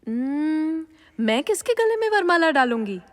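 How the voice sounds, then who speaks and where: A coy young princess in a mythological audio drama. Her voice is high and lively in pitch, musing theatrically, with long reverb trailing behind. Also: measured pace.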